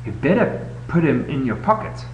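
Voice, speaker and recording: Man putting on an eager high-pitched schoolgirl, echoey. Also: sing-song, moderate pace, muffled recording, natural voice. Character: eager, chatty young schoolgirl, friendly and hopeful. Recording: echoey room, noisy webcam audio with hum.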